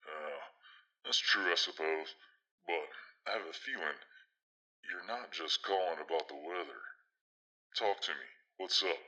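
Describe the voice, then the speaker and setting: A man's voice: low voice, unhurried, pauses often, sharp tone, exaggerated voice, poor audio. A man playing a blunt, teasing, protective big brother in an audio drama, folksy and theatrical in his delivery. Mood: probing.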